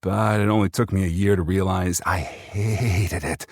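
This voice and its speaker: A man's voice: sits low, fairly even intonation, a little slow, no room sound, studio quality. A man reading first-person fiction as an audiobook narrator, performing the text in character.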